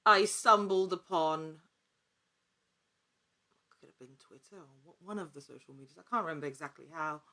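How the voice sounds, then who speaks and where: A woman talking casually as an interview guest, telling her story in an animated, chatty way. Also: low voice, normal speed, pauses often. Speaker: podcast guest, actor.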